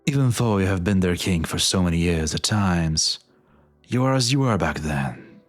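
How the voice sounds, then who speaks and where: A weary, resolute fantasy game warlord played by a man speaks in a deep voice. He is grave and fatalistic, weighing each phrase deliberately over faint background music. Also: expressive. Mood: somber. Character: grim, fatalistic male war leader, weary and resolute. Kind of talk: dramatic fantasy game dub acting.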